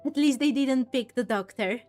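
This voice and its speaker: A woman's voice: sits high, normal speed, darkish tone, soft background music. A woman hosting a casual game playthrough, chatting and reacting expressively as she goes. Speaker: gaming video host.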